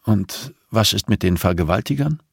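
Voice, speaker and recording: Man voicing an appalled, questioning woman, pitch lifted. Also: expressive, normal speed, gravelly, breathy edge, dry recording, clean studio sound, exaggerated voice. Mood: appalled, challenging. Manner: questioning, incredulous, earnest. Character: shocked, conscientious woman, disbelieving and morally appalled.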